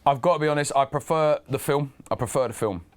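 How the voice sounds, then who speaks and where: A man's voice: medium pitch, fast talker. A man in his thirties who grew up in Russia, a guest actor and filmmaker talking casually, often stumbling and restarting his sentences. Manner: stammering restarts, chatty, laughs often. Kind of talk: casual interview.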